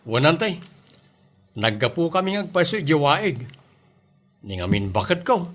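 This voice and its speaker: A man acting an earnest village fellow, cautioning and exasperated. He speaks in a high-pitched voice with an Ilocano Filipino accent, in comedy audio drama style. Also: sing-song, a little slow, a bit bright, heavily acted.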